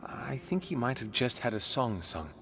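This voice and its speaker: A man voicing a weary, troubled inspector in a video game, speaking in an earnest, quiet way. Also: medium pitch, big pitch swings, moderate pace, soft background music. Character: weary male city inspector, troubled but fond. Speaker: game character.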